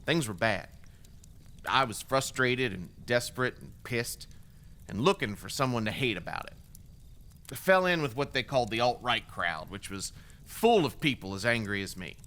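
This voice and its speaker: A man's voice: mid-range voice, lively intonation, normal speed, sharp tone. An actor playing a remorseful, bitter former extremist gunman giving testimony in an audio drama, in a blunt, wry, profane conversational way.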